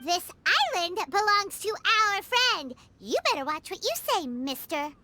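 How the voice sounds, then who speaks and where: A woman voicing a small, excitable, bossy childlike fairy companion in a video game, whiny and exclamatory. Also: very high voice, measured pace, dry recording, full character voice. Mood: indignant. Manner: refers to self in third person, exclamatory. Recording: loud music behind the voice.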